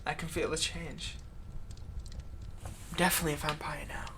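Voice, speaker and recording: A man playing a vengeful slave who is turning himself into a vampire, in a close roleplay monologue, taunting and theatrical, dropping into breathy whispers. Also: a little high, little pitch movement, moderate pace, noisy background, close to an everyday voice. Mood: exultant, smug. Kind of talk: roleplay audio acting, close ASMR monologue.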